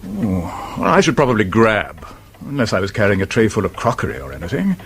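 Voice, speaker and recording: An actor playing a sceptical, level-headed friend of a sleuth in a radio drama, husky, with an English accent and a dry, reasoning manner. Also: male voice, lowish voice, huge pitch swings, brisk, fairly bright tone, slight background noise, heavily acted. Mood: sceptical, mildly exasperated. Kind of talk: British radio drama acting.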